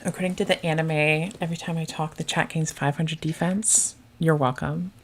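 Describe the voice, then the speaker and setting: Low-voiced woman with a soothing, chatty manner, co-hosting a casual game stream. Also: fairly even intonation, normal speed. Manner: soft, chatty, laughs often. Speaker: stream commentator.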